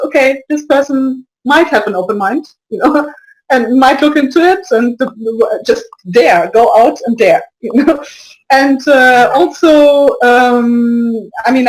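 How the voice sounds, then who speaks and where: A woman guest in an interview speaks in a slightly high voice, halting and full of restarts. She slips small soft laughs between her thoughts and keeps a casual tone. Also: rough recording. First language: not French. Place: lives in Brussels area.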